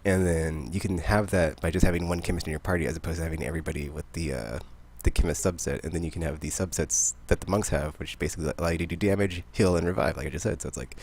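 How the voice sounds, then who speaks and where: A man hosting a podcast, chatting about games in a rambling, excitable way. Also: deep voice, fast talker, gravelly, few pauses, slight background noise. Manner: rambling, excitable, laughs often. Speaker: podcast host. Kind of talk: casual gaming chat.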